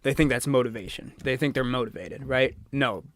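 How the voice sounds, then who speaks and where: A man's voice: medium pitch, fairly quick. A man in his early twenties co-hosting a podcast, talking earnestly in casual chat, swearing and asking "right?" a lot. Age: 20s. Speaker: podcast co-host.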